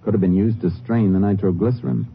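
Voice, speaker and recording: Steady Texas Ranger, very deep, matter-of-fact, 1950s radio drama, noisy vintage recording. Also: male voice, creaky, lo-fi sound. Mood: businesslike. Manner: measured, matter-of-fact questioning. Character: calm, steady Texas Ranger investigator and narrator.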